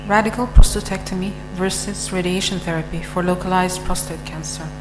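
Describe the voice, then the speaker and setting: Female panel moderator, brisk; echoey hall, long reverb, heavy hum underneath. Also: lowish voice, steady tone. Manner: brisk, businesslike.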